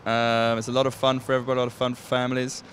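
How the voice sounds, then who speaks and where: A man with a medium-pitched voice gives a casual interview. He is halting, often searching for words, with faint traffic-like noise behind him. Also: monotone, fairly bright tone. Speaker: writer and producer being interviewed.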